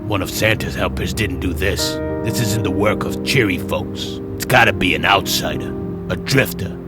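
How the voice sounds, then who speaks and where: An actor playing a world-weary police detective in a noir radio drama, speaking in clipped old-fashioned slang. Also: male voice, low-pitched, moderate pace, loud music behind the voice, close to an everyday voice. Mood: somber. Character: world-weary hard-boiled male police detective named Kringle.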